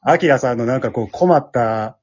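A man's voice: medium pitch, fast, a little mellow, slightly rough. A man with a Kansai accent chatting casually as a regular on an amateur talk show. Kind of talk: casual after-show chat. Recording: dull lo-fi audio.

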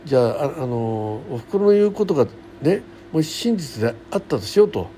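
A man's voice: a little high, sing-song, moderate pace, dark tone. A middle-aged man hosting a solo radio show, chatting casually in a colloquial, folksy way. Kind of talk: casual solo chat, rambling daily-life anecdotes. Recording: faint background noise.

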